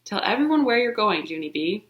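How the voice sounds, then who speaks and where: A woman voicing a patient, dry-humored male schoolteacher who speaks calmly. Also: mid-range voice, fairly quick, dull audio, lightly acted. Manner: calm, gently wry. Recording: roomy echo.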